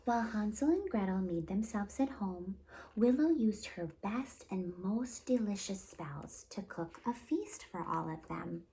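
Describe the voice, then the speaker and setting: A woman with a mellow voice reads a picture book for children. She takes her time and narrates deliberately and expressively, as a storytime host. There is some faint noise on the recording. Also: mid-range voice, lively intonation, poor audio.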